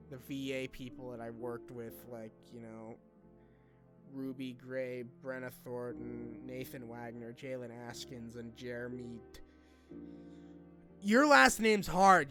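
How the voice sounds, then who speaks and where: A young man talking informally to his subscribers in a personal vlog, halting and rambling in a colloquial way. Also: medium pitch. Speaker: online video creator.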